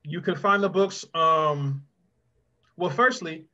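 Middle-aged male children's author, higher-pitched, encouraging and conversational with kids, on a dull-sounding video call. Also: slow, pushed and bright. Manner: conversational, addresses children directly by name. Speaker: guest children's author and mentor. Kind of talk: encouraging question-and-answer talk to children.

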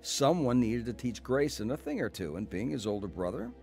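A man's voice: mid-range voice, big pitch swings, brisk, gravelly, over music. An actor playing an arrogant, cynical businessman older brother in a radio drama, lecturing bluntly and condescendingly. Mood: cynical narrating.

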